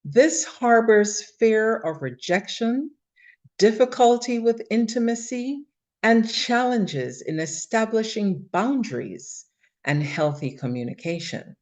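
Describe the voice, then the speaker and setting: A woman co-hosting a talk show, laying out points in a measured, list-like delivery. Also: a little high, lively intonation, darkish tone. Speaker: podcast co-host. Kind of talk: prepared talk-show discussion.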